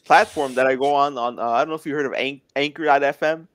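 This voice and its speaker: A man's voice: mid-range voice, quick pace, a bit bright, no room sound. Earnest man in his twenties, dry sound. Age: 20s. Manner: earnest, plainspoken. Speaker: guest. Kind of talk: casual interview chat.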